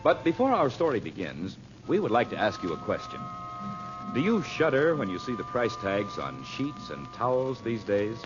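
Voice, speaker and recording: A man's voice: medium pitch, sing-song, normal speed, over music. A man announcing and narrating an old radio serial in a polished, emphatic, theatrical scripted style. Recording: muffled vintage radio broadcast recording.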